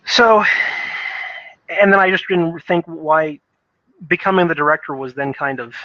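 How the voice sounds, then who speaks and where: A man's voice: a little high, measured pace, sharp tone, dry recording. A man giving casual, opinionated video game commentary in a rambling, hesitant way, thinking aloud on a dull lo-fi microphone.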